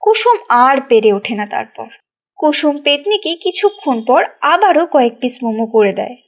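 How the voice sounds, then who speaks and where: A woman narrating a cartoon story in a lively storytelling manner. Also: high voice, moderate pace.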